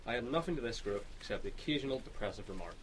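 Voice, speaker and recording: A man's voice: medium pitch, lively intonation, very fast, a little hiss or hum, close to an everyday voice. A man in his twenties playing an insecure, self-doubting young apocalypse survivor, hesitant and stammering, in a radio drama. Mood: downcast. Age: 20s.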